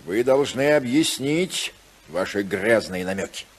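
Scornful, accusing husband, animated and husky, vintage radio drama. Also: male voice, on the low side, measured pace. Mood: suspicious, threatening. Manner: sharp, scornful. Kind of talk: 1950s Soviet radio drama acting.

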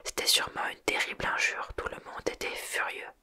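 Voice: fairly quick, sharp tone. A reader whispering a plain-spoken young teenage boy wizard in a storybook.

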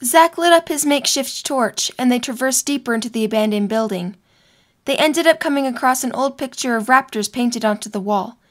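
A woman's voice: on the high side, brisk. A young woman reading scripted story narration for an audio drama.

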